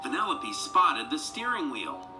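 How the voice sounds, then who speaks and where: Animated male storybook narrator over music. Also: on the high side, unhurried. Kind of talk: scripted children's read-along narration. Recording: music behind the voice.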